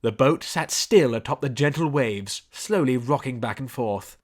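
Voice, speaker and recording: A man reading scripted story narration in a measured storybook delivery. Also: mid-range voice, lively intonation. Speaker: audiobook narrator.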